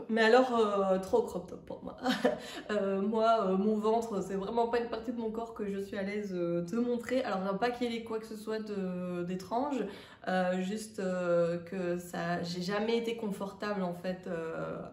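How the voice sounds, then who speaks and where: Woman, cold-stuffed nose, hesitant casual vlog chat, mid pitch, mic set back in an echoey room. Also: darkish tone. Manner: chatty, hesitant with many fillers. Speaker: sewing vlogger. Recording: roomy, distant from the mic.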